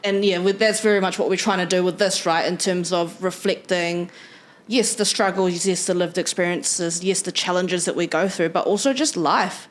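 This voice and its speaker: A woman's voice: on the low side, fairly even intonation, normal speed. Chatty New woman interviewing. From New Zealand. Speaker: podcast host. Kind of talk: casual interview chat.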